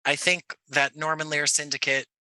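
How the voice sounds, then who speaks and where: A man's voice: on the high side, flat delivery, normal speed, pushed and bright, smooth, no echo. A man from Jersey City talking casually as a guest on a podcast. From Jersey City, United States. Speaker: podcast guest, audio playwright. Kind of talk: casual interview chat.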